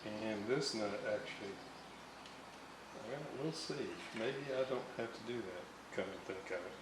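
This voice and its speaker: A man giving an unscripted hands-on demonstration at a workbench, halting and muttering to himself as he works. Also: lowish voice. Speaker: radio restorer. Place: indoor room, at a workbench. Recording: roomy, noisy home recording.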